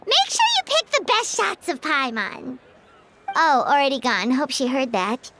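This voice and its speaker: Game fairy sidekick, childlike and chatty, extremely animated intonation, with loud noise behind the voice. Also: female voice, unhurried, heavily acted. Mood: curious, playful. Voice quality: squeaky, childlike. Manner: bubbly, chatty, exclamatory. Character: tiny cheerful female fairy companion, childlike and excitable. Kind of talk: video game voice acting.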